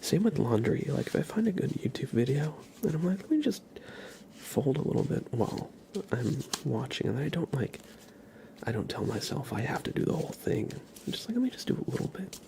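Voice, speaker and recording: Male ASMR live streamer, whispery and breathy with vocal fry, rambling and conversational, light background noise. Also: medium pitch, very animated, brisk. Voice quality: soft breathy whisper, often unvoiced. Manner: rambling, conversational, addresses chat by name. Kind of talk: ASMR, whispered casual chat with viewers.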